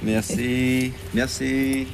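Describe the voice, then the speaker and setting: An actor playing a polite bilingual train conductor in a radio play, making routine announcements. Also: male voice, mid-range voice, level tone, drawn-out words, creaky, background noise.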